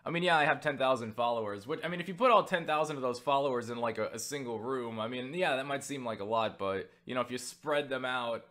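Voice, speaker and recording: A man's voice: mid-range voice, fast talker, hardly any pauses, a bit bright. A man from the United States answering viewer questions in a casual vlog, rambling, joking, swearing freely and poking fun at himself. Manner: self-deprecating.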